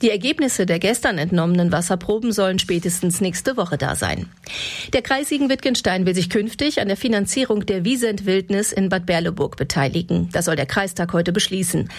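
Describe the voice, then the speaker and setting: A woman presenting regional radio news in a measured, scripted delivery from a studio. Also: medium pitch, expressive.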